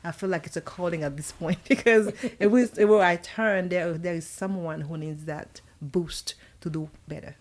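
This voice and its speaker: A woman's voice: fairly low pitch, fairly even intonation, moderate pace, few pauses, a little mellow. A woman who is an author and coach, a guest on a radio talk show, speaking in an earnest, encouraging way. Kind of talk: interview answers.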